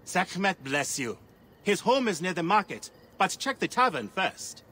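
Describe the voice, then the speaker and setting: An actor playing an anxious, excitable male temple priest in a video game. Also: high-pitched, measured pace, slightly rough, heavily acted. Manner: excitable, emphatic.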